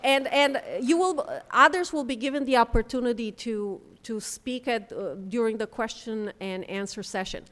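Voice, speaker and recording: A woman giving a conference talk, halting now and then with ums and restarts as she speaks. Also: a little high, fairly bright tone. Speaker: panel speaker for a refugee housing group. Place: conference room. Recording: long hall reverb.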